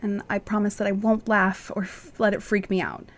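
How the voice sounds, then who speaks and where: A woman playing a caring, sleepy wife in a radio mystery drama, with a gentle, soothing manner. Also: mid-range voice, flat delivery, moderate pace, close to an everyday voice. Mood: concerned, soothing.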